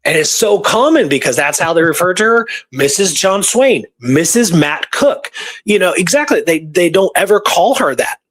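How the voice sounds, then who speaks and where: A man's voice: sits high, big pitch swings, normal speed, a bit bright. A man talking casually through historical research, rambling and informal, repeating points for emphasis. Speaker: history researcher and show guest or co-host.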